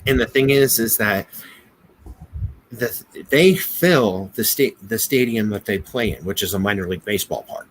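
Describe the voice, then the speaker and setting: Halting American man, lower voice, noisy recording. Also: fairly bright tone. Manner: halting, repeats words and restarts often. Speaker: business podcast co-host. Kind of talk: casual business discussion. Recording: noticeable background noise.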